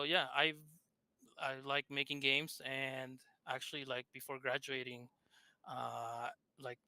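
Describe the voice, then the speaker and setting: A man's voice: medium pitch, pushed and bright, smooth, dry recording. A man from Argentina with a thick Argentine Spanish accent, a game developer talking casually with hesitant fillers. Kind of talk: casual interview chat.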